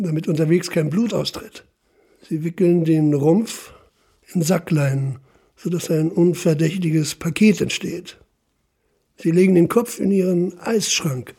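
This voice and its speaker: An actor playing a stern police investigator in a radio drama, laying out accusations insistently and deliberately in a gravelly voice. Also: male voice, a little high, warm tone, exaggerated voice. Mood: grim, deliberate. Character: stern, relentless police investigator interrogating a suspect. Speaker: narrating police official. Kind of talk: German radio drama, interrogation.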